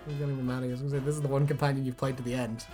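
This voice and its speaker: A woman's voice: a bass voice, little pitch movement, racing, warm tone, soft background music. A woman chatting casually and jokily as a co-host, swearing freely and prone to giggling. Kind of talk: casual banter, reacting to fan comments.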